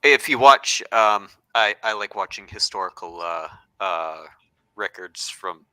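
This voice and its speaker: A man's voice: on the low side, animated, unhurried, bright, forceful tone, clear, resonant tone, dry recording. A man in a casual podcast chat, explaining things with some hesitation.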